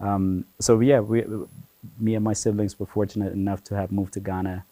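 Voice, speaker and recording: A man in his forties from Ethiopia, a guest telling stories about his childhood in a relaxed, chatty way, with lots of "you know" and easy laughter. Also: fairly low pitch, a little fast, no echo. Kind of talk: relaxed conversational storytelling.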